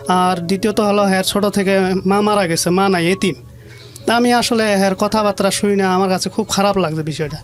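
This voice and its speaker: A Bangladeshi man telling his life story as an interview guest, plain-spoken and colloquial, often repeating phrases. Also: sits high. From rural Bangladesh.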